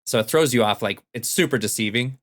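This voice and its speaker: A man's voice: medium pitch, brisk. A man talking casually as a podcast host, recounting things in everyday conversational language. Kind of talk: casual storytelling chat about games.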